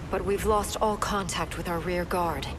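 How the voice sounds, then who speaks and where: A woman as a grave, low-voiced warrior princess. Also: fairly even intonation, moderate pace, music in the background, natural voice. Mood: grave, resolute. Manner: formal, resolute. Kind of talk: video game cutscene acting.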